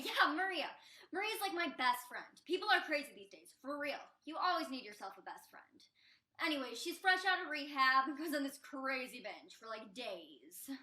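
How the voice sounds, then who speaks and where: A young woman acts out a defiant, chatty female addict in a stage play. Her voice is high and bright, rambling along in casual, evasive storytelling. The room sounds echoey around her. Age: young adult. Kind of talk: student one-act stage play acting. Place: indoor stage.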